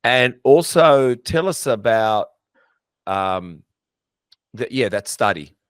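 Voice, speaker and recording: A man's voice: medium pitch, lively intonation, slow, smooth, no room sound. A man hosting a podcast, prompting his guest with questions and dropping in jokes. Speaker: podcast host. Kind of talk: casual podcast interview.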